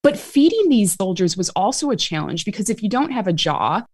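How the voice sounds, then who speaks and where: Woman, Chicago accent, animated author, quick laughs, dry sound. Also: medium pitch, husky, no room sound. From Chicago, USA. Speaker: author and medical historian. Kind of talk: interview answers.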